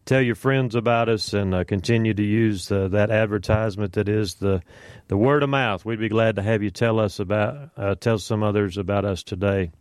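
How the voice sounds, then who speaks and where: A man's voice: lowish voice, normal speed, clear, resonant tone, no room sound, studio quality. A man hosting a morning religious radio show, chatting in a folksy way with many fillers and greeting listeners by name. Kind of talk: casual morning radio chat. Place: radio studio. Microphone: studio microphone.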